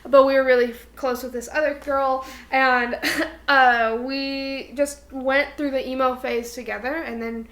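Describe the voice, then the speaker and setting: A young woman with a notably high, bright voice talks as a podcast co-host. She chats casually and tells stories in loose, slangy phrasing, with "like" dotted all through. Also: level tone, a little slow. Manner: informal, slangy, heavy use of "like". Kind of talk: casual chat and storytelling between friends.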